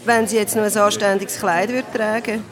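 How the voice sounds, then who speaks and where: An actress playing a snobbish, critical wife at a concert in a radio play. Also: female voice, medium pitch, steady tone, normal speed, natural voice. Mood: snooty, dismissive. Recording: crowd murmur behind.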